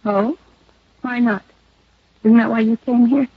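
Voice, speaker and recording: A woman's voice: on the high side, steady tone, unhurried, slightly rough, no echo. An actress playing a cool, defiant and smug female murder suspect in a 1950s radio detective drama, taunting and self-assured. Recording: dull, lo-fi old radio recording.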